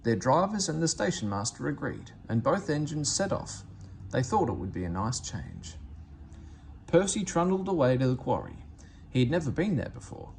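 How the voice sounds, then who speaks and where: A man reading a children's story aloud in expressive narration. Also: medium pitch, normal speed, gravelly, slight background noise. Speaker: storybook reader.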